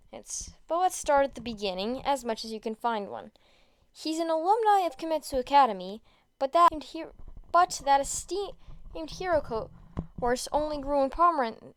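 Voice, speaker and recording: High, clear-voiced woman reading aloud. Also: no echo, studio quality. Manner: stumbles over words and rereads phrases. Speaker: podfic reader. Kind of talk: casual introduction and expressive amateur story reading.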